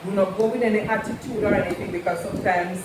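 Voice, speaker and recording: A middle-aged woman, a mother, telling family stories in a measured way and pausing to search for words. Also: mid-range voice, fairly even intonation. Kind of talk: casual storytelling. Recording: echoey room, distant mic, background noise.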